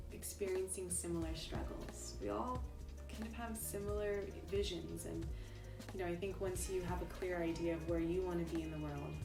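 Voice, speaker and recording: A young adult woman speaking thoughtfully about her tarot practice, with many ums and pauses. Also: fairly low pitch, recorded from a distance. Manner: soft-spoken, thoughtful, many ums and you-knows. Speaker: tarot reader and interviewee. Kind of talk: reflective personal talk. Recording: roomy sound, voice set back from the mic, clear music behind.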